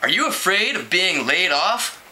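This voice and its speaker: A man's voice: sits high, very animated, measured pace, bright, forceful tone, exaggerated voice. A man reading a storybook aloud, voicing an eager, awkward teenage boy who politely improvises as a reporter. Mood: earnest, inquisitive. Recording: clearly noisy home recording.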